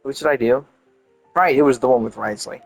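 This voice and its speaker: A man's voice: mid-range voice, fairly quick, creaky. A man doing casual gameplay commentary, rambling and thinking aloud as he streams. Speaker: game streamer. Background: game music.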